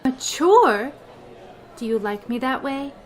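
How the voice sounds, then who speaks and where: A woman's voice: sits high, expressive, takes it slowly. A woman playing a dry, wry schoolteacher. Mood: wry, indignant. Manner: dry, sarcastic. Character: wry, long-suffering female schoolteacher. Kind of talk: old-time radio comedy acting.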